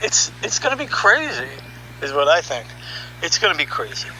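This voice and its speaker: A man giving an opinionated, conversational monologue as a podcast host, sardonic and animated, throwing out rhetorical questions. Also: a little high, normal speed, a little hiss or hum, rough recording. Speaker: podcast host and audio artist. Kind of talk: opinionated monologue, reading article excerpts aloud.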